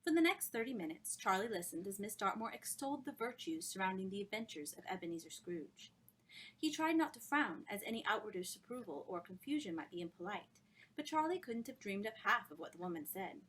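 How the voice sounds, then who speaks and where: A woman author reading her own novel aloud in an expressive storytelling style. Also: medium pitch, a little fast, slightly rough, rough recording.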